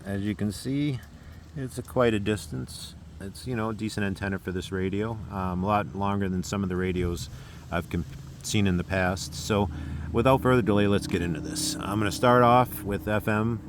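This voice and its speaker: An American man casually presenting a demonstration as a hobbyist, with a halting, unscripted delivery. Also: on the low side, fairly even intonation, noisy background.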